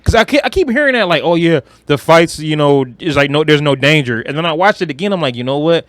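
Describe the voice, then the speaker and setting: A man's voice: on the high side, animated, fast talker, a bit bright, no echo. A man chatting casually and emphatically about movies on a podcast, with slang, swearing and repeated phrases. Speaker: podcast co-host.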